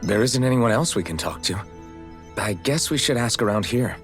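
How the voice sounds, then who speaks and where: An actor playing a polite, serious hero in a video game, asking questions earnestly and courteously. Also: male voice, on the low side, lively intonation, moderate pace, fairly bright tone, faint music behind. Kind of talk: video game cutscene acting.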